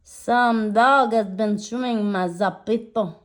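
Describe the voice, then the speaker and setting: A woman's voice: a little high, slow, voice pushed up. A woman voicing small, indignant chihuahua friends in a storybook, complaining in an exaggerated way.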